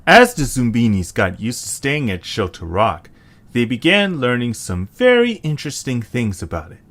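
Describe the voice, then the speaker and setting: Male narrator, scripted storytelling, expressive intonation, bright clear-cut tone, slightly low pitch. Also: normal speed.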